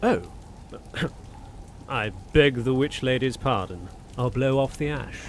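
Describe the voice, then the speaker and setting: Sardonic young lord, animated delivery. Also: male voice, mid-range voice, slow, slight background noise. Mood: skeptical. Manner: wry, challenging. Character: bold, sardonic young gentleman suitor, a lord. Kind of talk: radio suspense drama acting.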